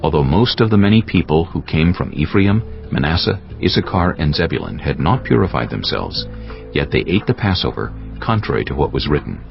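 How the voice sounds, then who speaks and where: A man narrating a dramatized scripture audiobook in a measured, solemn storytelling manner. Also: very deep voice, sing-song, vocal fry. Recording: dull sound with music behind. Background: prominent music.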